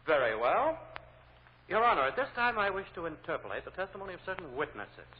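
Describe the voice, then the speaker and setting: An actor playing a fussy, solicitous prosecutor who is easily flustered, halting and theatrical, in 1950s radio drama style. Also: male voice, high voice, sing-song. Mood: determined. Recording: muffled, noisy old radio recording, reverberant.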